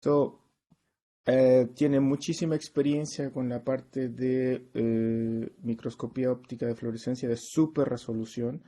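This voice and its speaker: A man introduces a seminar speaker. His voice is mellow and relaxed, and he speaks in a semi-formal way with halting hesitations. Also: medium pitch. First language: Spanish.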